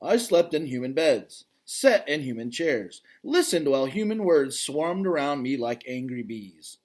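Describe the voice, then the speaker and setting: A father reads a children's book aloud in a lively voice that swings up and down in pitch. He stops now and then to talk straight to his listeners about the story, then goes back to reading. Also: measured pace. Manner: expressive reading, breaks off to comment directly to listeners. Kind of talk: reading a children's novel aloud with chatty asides.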